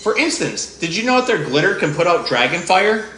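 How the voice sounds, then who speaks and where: A man's voice: high voice, very animated, brisk, bright, forceful tone, echoey room, a little hiss or hum, exaggerated voice, poor audio. A man reading aloud as a grumpy, jealous male goblin who complains indignantly. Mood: grudging.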